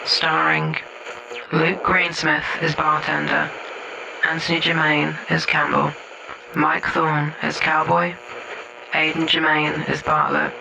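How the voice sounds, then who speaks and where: A man reads credits in an extremely flat, monotone voice. It is fairly high and gravelly, delivered slowly over clear radio-like noise. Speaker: credits announcer. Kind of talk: scripted credits reading.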